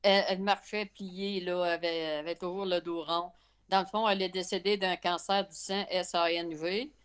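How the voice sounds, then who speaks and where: A woman from Canada with a Québécois accent, a caller on a video call telling her story in a halting, plain-spoken way. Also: on the low side, steady tone, clean tone. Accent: Québécois French.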